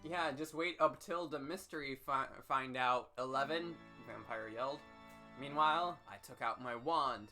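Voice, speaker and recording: A man's voice: mid-range voice, normal speed, faint music behind. A man hosting an over-dramatic comedic reading of fan fiction, theatrical and emphatic. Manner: theatrical, emphatic, with sudden shouts.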